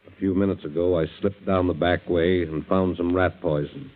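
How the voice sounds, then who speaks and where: An actor playing a grave doctor solemnly reading a letter aloud in a 1940s radio drama. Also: male voice, low-pitched, fairly even intonation, normal speed, lightly acted. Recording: dull 1940s radio recording.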